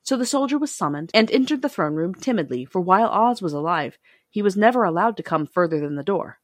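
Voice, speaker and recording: A woman's voice: on the low side, expressive, quick pace, no echo, clean studio sound. A woman reading scripted storybook narration as an audiobook narrator.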